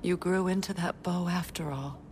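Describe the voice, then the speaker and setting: An actress playing a solemn, tender warrior goddess in a video game, hushed and measured. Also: female voice, on the low side, a little hiss or hum, natural voice. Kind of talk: video game dialogue acting.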